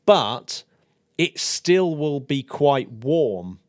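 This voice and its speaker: A man's voice: medium pitch, sing-song, drawn-out words, darkish tone, dry recording. Curious man hosting, dry sound. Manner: curious, thinks aloud, repeats words. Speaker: podcast host. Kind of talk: casual science chat.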